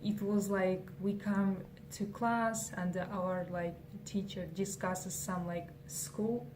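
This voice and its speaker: A woman's voice: medium pitch, steady tone, takes it slowly. A young woman from Kazakhstan, whose first language is Kazakh, answering interview questions in English with many fillers and restarts. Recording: faint noise, distant from mic.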